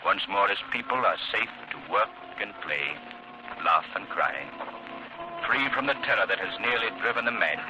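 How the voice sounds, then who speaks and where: An actor playing a calm, authoritative crime-fighting hero, measured and soothing, in 1930s radio drama style. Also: male voice, lowish voice, music in the background, natural voice. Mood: solemn. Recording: noisy old 1930s radio recording.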